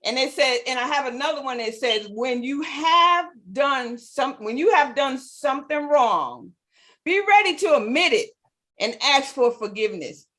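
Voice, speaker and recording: High-voiced woman moderating a chat. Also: lively intonation, moderate pace, fairly bright tone. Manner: interjecting, moderating. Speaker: podcast host. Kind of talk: lively casual panel chat.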